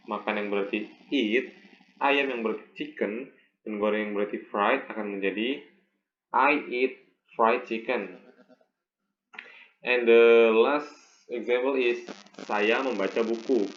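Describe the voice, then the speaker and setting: A young Indonesian man with an Indonesian accent gives an instructional presentation as a student teacher, halting and often repeating words. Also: lowish voice. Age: young adult. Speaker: English education student presenting a teaching video. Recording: echoey room, muffled, faint noise.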